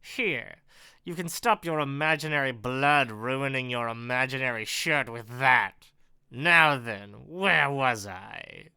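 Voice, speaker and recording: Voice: mid-range voice, slow, sharp tone, clear, resonant tone, dry recording, clean studio sound. A performer voicing a sneering, pudgy, vain bully with a gun in an amateur script reading, mocking and drawing out the taunts. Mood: menacing, sneering. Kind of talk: amateur script read-through.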